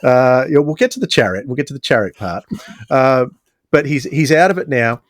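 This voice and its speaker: A man with a slightly low voice talks as a podcast host in a casual, conversational way. The sound is dry and close, with very little room in it. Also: sing-song, moderate pace, no echo.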